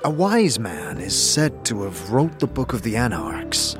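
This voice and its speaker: A man acts out a stern, sage warrior teacher from a fantasy audio drama. He speaks solemnly and formally, in measured aphorisms, pitched low. Music plays clearly behind him. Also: very animated. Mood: solemn, instructive.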